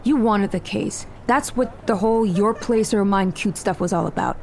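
A woman's voice: medium pitch, normal speed, creaky, darkish tone, slight background noise, natural voice. A woman playing a cool, calculating operative on the run in an audio fiction drama. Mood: teasing, cool.

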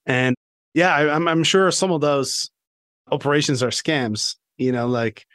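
A man's voice: a little high, unhurried, fairly bright tone, clean tone, no room sound. Casual chatty man hosting, dry sound. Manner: casual, many fillers like "like" and "right". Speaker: podcast host. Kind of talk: podcast interview.

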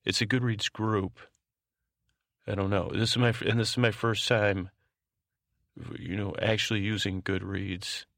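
A man with a low voice full of fry speaks as a podcast host, recorded very dry with no room around him. He wanders through informal announcements in a halting way. His sentences often break off, double back and start again. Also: flat delivery, fairly bright tone, dry recording. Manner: halting, meandering, keeps breaking off and circling back. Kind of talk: rambling informal podcast announcements for a bedtime show.

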